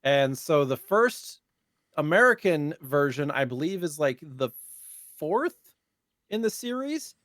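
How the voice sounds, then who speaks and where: A man's voice: fairly high pitch, very animated, slow, fairly bright tone, dry recording, clean studio sound. A man co-hosting a gaming podcast, chatting casually and explaining things in an informal way. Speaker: podcast co-host.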